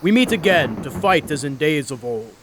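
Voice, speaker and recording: A man voicing a young one-eyed giant in an audio story, booming, mocking and menacing. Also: expressive, moderate pace, noisy background, close to an everyday voice.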